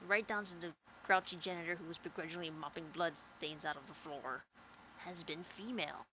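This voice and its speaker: A woman's voice: lowish voice, brisk, bright, forceful tone, slight background noise, rough recording. A woman gaming host reading visual novel narration aloud with dramatic first-person storytelling over game music.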